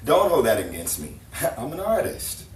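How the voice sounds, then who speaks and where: A man's voice: medium pitch, huge pitch swings, moderate pace. A man playing a flustered, eager-to-please painter in a 1950s-style radio romance, stammering and apologetic. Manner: stammering, apologetic, nervously chuckling. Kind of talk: 1950s-style live radio drama acting. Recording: roomy, distant mic, faint noise, audience.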